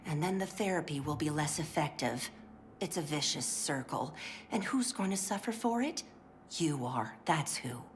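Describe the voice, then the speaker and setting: A chirpy 1950s clinic worker, sugary and polite but visibly fraying and pill-addled. Her voice sits a little lower than you'd expect, and she drops into breathy whispers. A steady hiss of background noise runs under her lines, as in a game voice-line rip. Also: normal speed. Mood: passive-aggressive. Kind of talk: scripted game voice acting.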